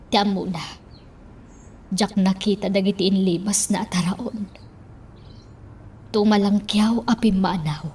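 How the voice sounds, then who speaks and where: An unhurried, mid-pitched voice over faint noise. She is a suspicious, grumbling village wife in a radio drama, played by a woman. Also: a little mellow, lightly acted. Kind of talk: Ilocano radio drama acting.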